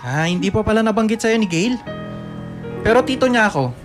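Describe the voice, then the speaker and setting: Casting: middle-aged uncle, notably high male voice, careful and pleading, radio drama over faint music. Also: lively intonation, a little fast. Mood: hesitant, explaining. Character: apologetic middle-aged uncle Larry, gently confessing a secret.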